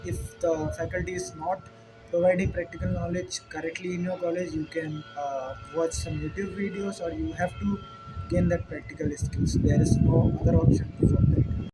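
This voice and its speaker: A young man from India, a native Hindi speaker with an Indian accent, explaining things informally in an earnest, slightly halting way. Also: high voice, big pitch swings, loud music behind the voice, poor audio. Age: young adult. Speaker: dairy technologist answering questions. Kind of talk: informal question-and-answer explanation.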